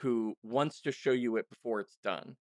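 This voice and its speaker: A man's voice: medium pitch, normal speed, no echo. A man with a mid-range voice hosts a casual conversation in a very dry recording. He talks in an anecdotal, informal way, often slipping into quoted lines to make his point. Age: 30s or older. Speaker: podcast host interviewer.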